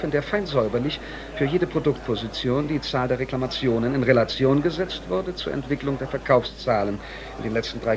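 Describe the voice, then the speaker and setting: An actor playing a male company employee telling a story with measured, dry irony in a radio drama monologue. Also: medium pitch, natural voice. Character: dryly ironic male company employee recounting office absurdities.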